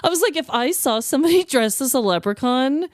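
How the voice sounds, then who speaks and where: Lively, high-voiced American woman podcaster. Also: brisk, dry recording, studio quality. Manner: animated, giggly, expressive. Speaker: podcast co-host. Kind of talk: casual comedic storytelling and chat.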